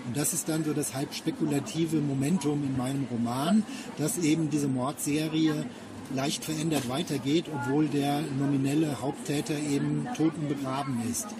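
Autor mit höherer Männerstimme, deutlicher Umgebungslärm. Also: male voice, a little high, fairly even intonation, normal speed, background noise. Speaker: author being interviewed. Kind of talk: explanatory interview talk.

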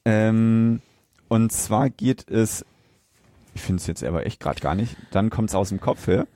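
A man with a German accent hosting a podcast, explaining facts in a casual, halting way. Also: lowish voice, dark tone, dry recording, studio quality. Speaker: podcast host.

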